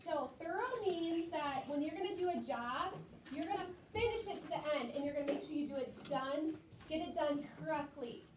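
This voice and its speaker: A woman's voice: sits high, a little fast. A woman teacher talking to young children in a classroom, explaining things simply and rephrasing as she goes. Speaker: elementary school teacher. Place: classroom, away from the mic. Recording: echoey classroom from far off, clearly noisy.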